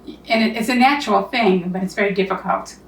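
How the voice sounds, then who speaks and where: A woman's voice: medium pitch, a little fast, far from the mic. An older woman with a Massachusetts accent, speaking plainly and reflectively as a guest in a casual chat. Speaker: filmmaker's mother, guest. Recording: roomy sound, a bit off-mic.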